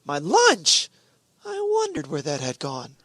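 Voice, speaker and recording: An actor playing a befuddled, mild-mannered husband in an old-time radio comedy, often hesitant and flustered. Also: male voice, on the high side, wildly swooping pitch, unhurried, sharp tone, dry recording, full character voice. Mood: flustered, sheepish.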